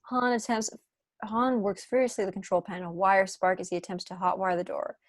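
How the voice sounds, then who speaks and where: A woman's voice: medium pitch, little pitch movement, a little fast. A young adult woman reading scripted stage directions as narrator at an online table read. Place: room at home, video call.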